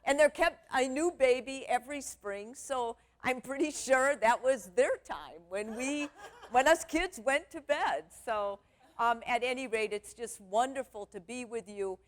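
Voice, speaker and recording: Loud, chatty woman speaker. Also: unhurried. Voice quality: loud, booming voice. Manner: chatty, candid, self-deprecating. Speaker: guest speaker, sex therapist. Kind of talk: conference talk to a church audience. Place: church stage.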